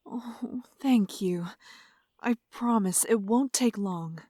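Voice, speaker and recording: Gentle, unhurried noblewoman played by a woman. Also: a little high, dry recording, clean studio sound. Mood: gentle, concerned. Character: gentle, polite young noblewoman. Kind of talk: audio drama acting.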